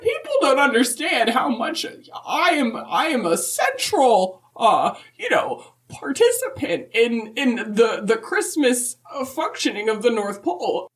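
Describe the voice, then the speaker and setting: A woman as centuries-old Christmas matriarch, highish voice, swooping intonation, chatty, opinionated, folksy, comic podcast interview. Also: sing-song, a little slow, few pauses. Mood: chatty, self-important. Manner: rambling, emphatic, folksy.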